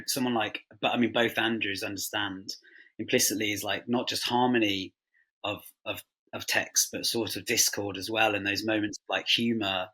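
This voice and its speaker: A man's voice: lowish voice, sharp tone, husky, low-quality recording. A man thinking aloud enthusiastically in a casual interview as a production guest. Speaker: audio drama production guest.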